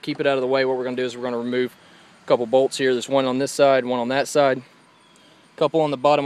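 A man hosting a casual hands-on tutorial, explaining each step informally as he goes. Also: mid-range voice. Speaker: host of a DIY vehicle tutorial. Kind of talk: casual hands-on how-to narration. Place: in and around a parked car outdoors in daylight.

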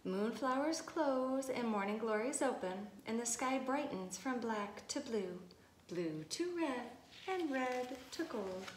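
A woman voicing a gentle mother owl in a storybook, soothing her child with measured, lyrical phrasing. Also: on the high side, lively intonation. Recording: echoey room with faint noise.

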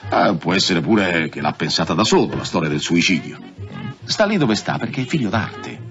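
An actor in an Italian radio drama playing a pragmatic, cautious police subordinate who confides gossip in a colloquial way. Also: male voice, low-pitched, big pitch swings, moderate pace, lo-fi sound, music in the background. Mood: wry, cautioning.

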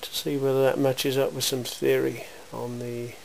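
A man giving an informal, matter-of-fact hands-on demonstration in a workshop, speaking in a measured way. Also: medium pitch, little pitch movement, creaky. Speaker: welding demonstrator. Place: indoor cluttered workshop. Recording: hissy, noisy amateur camera sound.

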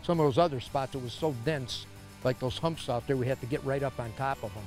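A man's voice: medium pitch, fast, a little mellow, soft background music. A middle-aged American man from Minnesota hosting a fishing show with casual commentary out on a boat. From Minnesota, United States. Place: on an open fishing boat on a lake.

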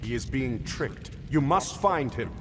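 A man's voice: medium pitch, expressive, a little slow, noisy background, lightly acted. An actor playing a grave, fatherly heroic spirit who gives solemn commands in a dramatic video game. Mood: solemn, urgent. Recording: long ghostly reverb under music.

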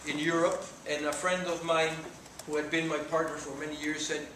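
A man's voice: on the high side, flat delivery, normal speed. A man in his forties from Mexico with a Mexican accent, giving an earnest talk to students in a lecture room. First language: Spanish. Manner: earnest, gesturing while speaking. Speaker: guest speaker. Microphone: far camera. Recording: echoey room from far off, noisy.

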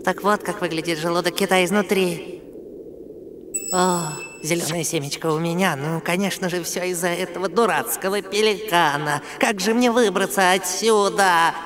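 A man's voice: high-pitched, normal speed, crowd noise, close to an everyday voice. A man voicing a small, dramatic, bookish monkey in a dubbed children's cartoon, theatrical and exclamatory. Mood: pondering, worried. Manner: exclamatory, theatrical, self-talking. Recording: music under the voice.

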